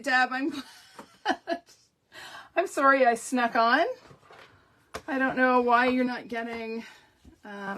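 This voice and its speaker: A woman hosting a live papercraft tutorial, talking through each step and greeting viewers by name, her voice going a little croaky. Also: fairly high pitch, bright, forceful tone. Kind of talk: live-stream craft demonstration.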